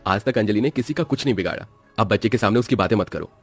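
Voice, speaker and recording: A man voicing a protesting village husband and father in an animated horror story. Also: lowish voice, quick pace, slightly rough, faint music behind. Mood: placating. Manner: protesting, questioning. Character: placating village husband and father, shocked by his wife.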